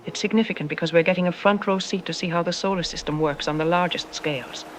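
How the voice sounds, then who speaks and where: A woman playing a calm, reassuring scientist who explains things in a measured way, as an expert guest in an audio drama. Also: lowish voice, slight background noise, lightly acted. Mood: gentle, reflective.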